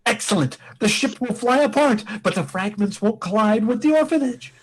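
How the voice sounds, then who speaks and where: Fleet admiral, sci-fi comedy, male actor: pompous, formal, melodramatic, highly animated intonation. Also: moderate pace, husky, full character voice. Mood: grimly noble. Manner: grave, theatrical, formal. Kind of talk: comic radio play acting.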